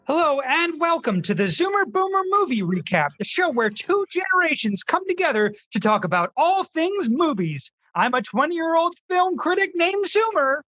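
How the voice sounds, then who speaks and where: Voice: very high voice, very animated, moderate pace, hardly any pauses, fairly bright tone, highs cut off, faint music behind, heavily acted. An actor playing a sarcastic, know-it-all film critic in their twenties in a scripted comedy radio skit. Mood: snarky. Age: 20s. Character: snarky, earnest twenty-year-old Zoomer film critic.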